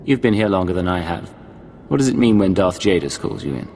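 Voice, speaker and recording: Low-voiced, lively imperial agent. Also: male voice, a little fast, in a large hall, slight background noise. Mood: dry, skeptical. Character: cool, skeptical male imperial secret agent. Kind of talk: video game dialogue acting.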